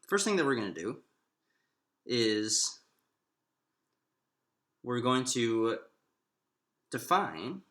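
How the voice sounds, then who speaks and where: A man giving an informal math lecture, thinking aloud in a halting way with drawn-out pauses. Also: medium pitch, very animated, fairly bright tone. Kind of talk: informal lecture, working through a derivation aloud. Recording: roomy, echoing room sound.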